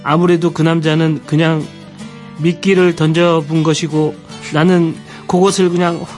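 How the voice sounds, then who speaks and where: Man, radio panelist, expressive storyteller, slightly higher pitch, soft music behind. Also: measured pace. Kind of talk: expressive storytelling of a listener's letter.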